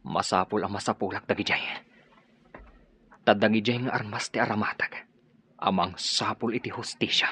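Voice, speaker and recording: A man's voice: fairly low pitch, sharp tone, breathy edge, full character voice. A man playing a brooding, grieving son sworn to avenge his father in an Ilocano radio drama. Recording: muffled radio drama recording, faint hiss.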